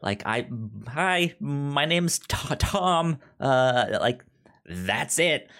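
A man's voice: fairly high pitch, lively intonation, very slow, a bit bright, clear, resonant tone. A man chatting casually about books as a podcast co-host, stammering and repeating sounds and words as he goes. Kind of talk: casual book discussion chat.

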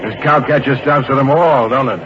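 A man plays a middle-aged traveling man making halting, folksy small talk. It is 1940s radio drama, with a muffled sound and plain noise behind the voice. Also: medium pitch, lightly acted. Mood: chatty. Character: chatty middle-aged traveling man, old army gunner.

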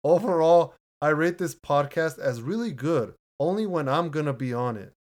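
A man with a deep voice, co-hosting a casual podcast and reading listener reviews in an easygoing way, laughing often and restarting his words. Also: lively intonation, moderate pace. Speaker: podcast co-host. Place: home room, recording remotely.

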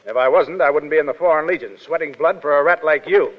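An actor playing a brash, cynical Foreign Legion soldier in a 1930s radio drama, with a blunt, slangy, insolent manner. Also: male voice, on the high side, fast, close to an everyday voice. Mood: defiant. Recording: muffled 1930s radio broadcast, hall reverb.

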